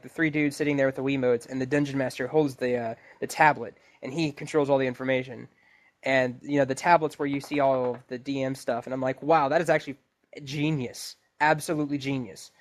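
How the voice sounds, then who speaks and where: A man co-hosting a podcast in a casual gaming discussion, stammering and often restarting his sentences. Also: mid-range voice. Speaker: podcast co-host. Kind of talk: casual video game discussion.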